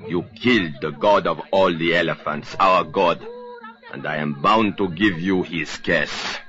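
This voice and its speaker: A man's voice: low voice, measured pace, sharp tone. An actor playing a vengeful, solemn African man pronouncing a curse in grave, formal, stilted English in a radio drama. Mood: solemn, accusing. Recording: dull lo-fi old radio recording.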